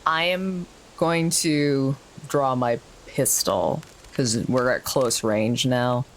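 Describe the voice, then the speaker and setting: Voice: mid-range voice, slow pace, a little hiss or hum. A tabletop role-playing player talking casually, thinking aloud through tactics.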